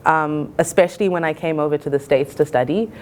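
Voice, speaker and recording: Low-voiced young woman. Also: brisk, darkish tone. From Zimbabwe. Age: early twenties. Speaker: college student. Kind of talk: reflective interview-style talk.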